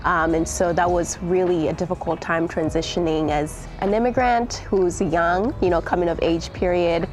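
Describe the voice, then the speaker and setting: Low-voiced woman in her twenties, earnest. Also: steady tone, normal speed, few pauses. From Tanzania. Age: 20s. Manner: earnest, fillers like "uh" and "you know". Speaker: documentary interviewee. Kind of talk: personal storytelling.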